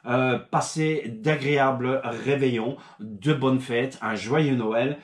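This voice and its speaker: A man's voice: mid-range voice, fairly even intonation, slow pace. A man hosting his own hobby video channel, recovering from a cold, talking casually to subscribers with many fillers and restarts. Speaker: channel host. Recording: echoey room.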